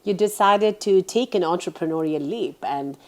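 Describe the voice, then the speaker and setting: A woman's voice: lowish voice, moderate pace, darkish tone. A woman hosting an interview, asking curious, encouraging questions. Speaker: interview host. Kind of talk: casual interview.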